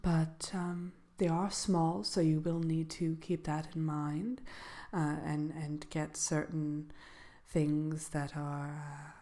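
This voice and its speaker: A woman playing a composed dark sorceress who gives a soft-spoken ASMR seminar in a hesitant, measured, genteel manner. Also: sits low, flat delivery. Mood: composed, matter-of-fact. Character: composed dark sorceress lecturing on magical animal companions. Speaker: seminar presenter.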